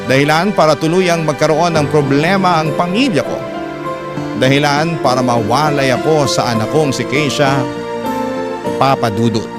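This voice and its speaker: A man's voice: fairly high pitch, normal speed, natural voice. A man narrating as a remorseful parent in an emotional, confessional Filipino radio story. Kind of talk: dramatic first-person scripted narration. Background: guitar music.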